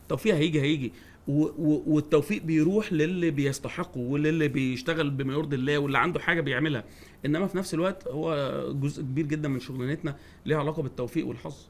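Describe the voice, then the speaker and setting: A man in his forties with an Egyptian accent, speaking at a mid pitch and a very fast pace. He talks as a guest in a casual chat and agrees readily. He often repeats words when he restarts a sentence, over a faint background hiss. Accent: Egyptian Arabic. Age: 40s. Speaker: guest, football coach.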